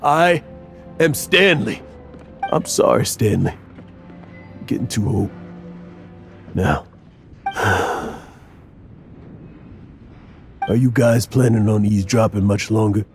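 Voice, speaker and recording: A man's voice: fairly low pitch, slow, gravelly, long pauses. A man playing a weary, bitter, guilt-ridden old adventurer in a dramatic video game, brooding and sighing. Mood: proud, then weary, irritated. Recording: music under the voice.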